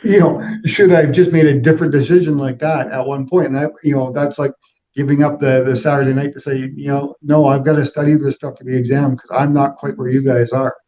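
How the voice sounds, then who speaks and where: Middle-aged man, fairly even pitch. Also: brisk, slight background noise, poor audio. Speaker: interview guest, aviation executive. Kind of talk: conversational interview answers.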